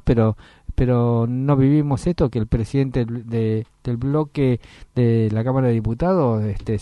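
A man from Tucumán with an Argentine accent, co-hosting a talk show and speaking casually about politics. He talks haltingly, repeating words and using many fillers. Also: medium pitch, dark tone, no room sound. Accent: Argentine Spanish. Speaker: co-host, local politician.

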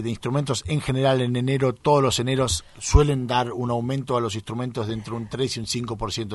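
A man's voice: medium pitch, dry recording. A man with a Buenos Aires area Argentine accent, answering interview questions in an earnest, explanatory, conversational way. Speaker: guest, professional tuba player.

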